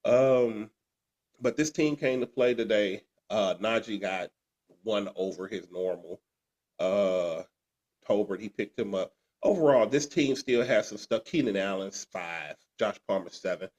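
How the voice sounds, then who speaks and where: Sick podcast co-host, congested, lowish voice, rambling recap. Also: male voice. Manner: rambling, restarts and "uh" fillers. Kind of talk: fantasy football recap chat.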